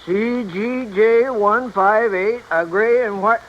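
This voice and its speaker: A man playing a folksy, crusty old American mine owner who rambles and is slow to catch on, in a 1950s radio drama. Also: high voice. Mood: baffled. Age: older. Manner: rambling, hesitant, rustic speech. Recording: dull old radio recording, faint noise.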